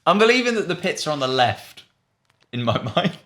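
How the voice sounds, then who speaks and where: A man with a slightly high voice chats casually through a quiz. He thinks aloud, hesitant and musing as he works out each guess. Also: very animated, brisk, a bit bright. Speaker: online video host, quiz player.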